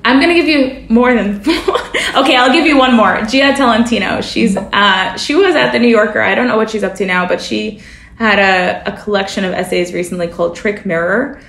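A woman's voice: mid-range voice, fairly quick, vocal fry, a bit bright, roomy sound. A woman journalist whose first language is Russian, talking reflectively in an interview, with frequent fillers and self-corrections. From family from the former Soviet Union. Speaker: journalist and podcast guest.

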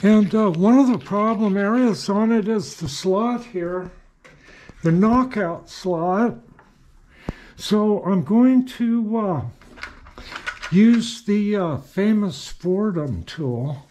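High-pitched, folksy older man in a workshop. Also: expressive, darkish tone, a little hiss or hum. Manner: unhurried, folksy, murmuring to himself as he works. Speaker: hobby machinist showing shop work. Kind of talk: casual workshop narration while working. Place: small cluttered indoor workshop.

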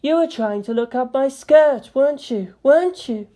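A haughty alien seeress from a sci-fi audio story, played by a woman. She speaks imperiously in a raised pitch, scolding like someone far above her listener. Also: expressive, normal speed, mellow. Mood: indignant. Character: haughty, indignant female alien Farseer figurine.

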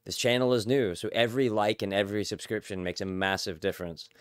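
A low-pitched man in his thirties hosts a casual podcast chat. He talks earnestly and rambles, restarting his sentences. His voice is close and dry, recorded on a studio mic in a small foam-lined room. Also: fast, smooth, no echo. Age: 30s. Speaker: podcast host. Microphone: large studio mic on a stand, headphones.

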